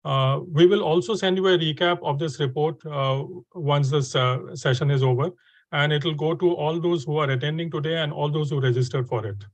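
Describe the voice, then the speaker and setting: A man's voice: on the high side, fairly quick, dry recording. Hesitant man hosting a webinar. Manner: hesitant, many fillers and restarts. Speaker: webinar host.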